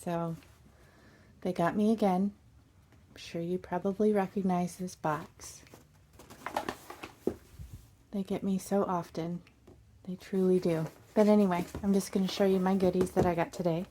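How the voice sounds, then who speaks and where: Hoarse, chatty woman showing off craft supplies. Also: fairly low pitch, fairly even intonation, unhurried, pauses often, warm tone, a little hiss or hum. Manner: chatty and bubbly, full of little asides and puns. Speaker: crafting channel host. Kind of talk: casual unboxing chat. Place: indoors at a craft desk.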